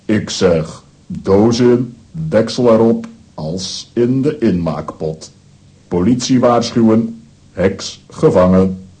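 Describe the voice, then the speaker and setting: A gruff but good-natured strongman, with a deep, dark voice. He speaks very slowly in clipped scraps of sentences, in the style of a children's radio play. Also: lively intonation, rough recording. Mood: dismissive, decisive. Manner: terse, clipped telegram sentences without articles.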